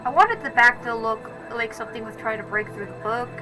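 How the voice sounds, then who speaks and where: Rambling high-voiced woman narrating crafts over music. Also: fairly quick. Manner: rambling, self-correcting. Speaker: craft video creator.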